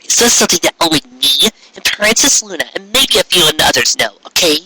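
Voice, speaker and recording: A man's voice: fairly high pitch, expressive, quick pace, sharp tone, slightly rough, no room sound, exaggerated voice, rough recording. A man voicing a young female unicorn magician who explains herself earnestly and defensively in a story.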